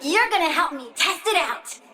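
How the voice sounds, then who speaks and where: High, bright, childlike girl villain. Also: animated, moderate pace, distant mic, exaggerated voice. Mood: gleeful menace. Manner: childish, petulant, cutesy menacing. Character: young girl plant villain, bossy, gleefully vengeful, doting. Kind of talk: video game voice acting.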